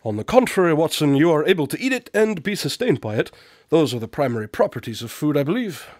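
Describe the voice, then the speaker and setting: An actor playing an eccentric, condescending detective-inventor in a radio drama, speaking in formal, precise, calmly pompous diction. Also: male voice, on the high side, quick pace, no room sound, studio quality. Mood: smug, matter-of-fact.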